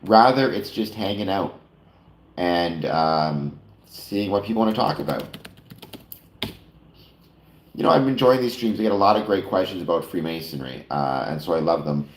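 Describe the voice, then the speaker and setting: A man's voice: low-pitched, moderate pace, long pauses, slight background noise. A man hosting a casual late-night chat stream, talking to viewers with many fillers and self-corrections, a little nasal. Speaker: live stream host. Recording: roomy echo.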